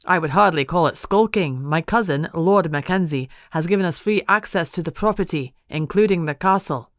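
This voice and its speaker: A woman voicing a frustrated, protective husband who argues forcefully. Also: on the high side, moderate pace, no room sound, clean studio sound. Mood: firm. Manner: forceful, argumentative.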